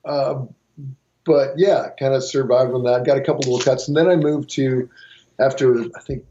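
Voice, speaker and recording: A man's voice: medium pitch, steady tone, normal speed, warm tone, lo-fi sound, slight background noise. A middle-aged man from Montana, a guitarist and songwriter, telling rambling stories in a casual interview with many restarts. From Montana, USA.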